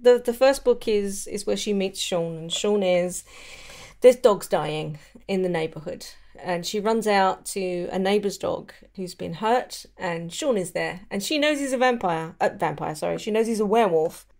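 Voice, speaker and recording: A woman's voice: mid-range voice, moderate pace, darkish tone. A chatty woman, hosting a casual, conversational discussion of books. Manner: chatty, giggly. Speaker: podcast host. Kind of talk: casual book chat.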